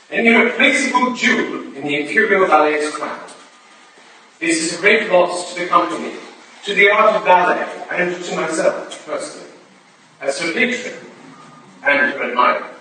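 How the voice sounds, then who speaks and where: A man acting high, bright, solemn lord speechifying. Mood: solemn, formal. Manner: formal, measured, oratorical. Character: solemn aristocratic ballet patron addressing party guests. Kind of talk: staged reading of a period play, formal speech. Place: live theatre reading in a reverberant room. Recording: distant, very echoey room, faint noise.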